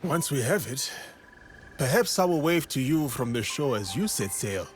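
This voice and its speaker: An actor playing a calm, principled warrior in a game drama, speaking in a measured, formal and deliberate way. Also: male voice, medium pitch, faint music behind, close to an everyday voice. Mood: reflective, calm. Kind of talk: dramatic game cutscene acting.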